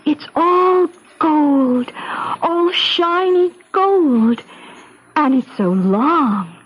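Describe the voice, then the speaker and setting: A woman's voice: sits high, lively intonation, drawn-out words, heavily acted. An actress playing a volatile teenage orphan girl, pleading and sly, in a 1970s radio drama. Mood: breathless, rapturous. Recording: noisy vintage 1970s radio recording.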